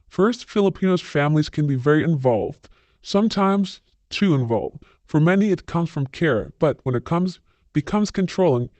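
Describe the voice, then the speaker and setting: A man's voice: on the high side, a little mellow, no echo. A man hosting a channel, reading online comments aloud and adding blunt, scornful opinions of his own.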